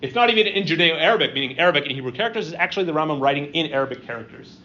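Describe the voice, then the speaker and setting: A middle-aged man lecturing to a live audience, conversational and halting, with lots of "um" and "right?" checks. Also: on the high side, lively intonation, sharp tone. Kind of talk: university-style lecture with audience questions. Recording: dull lo-fi room recording with faint ambience.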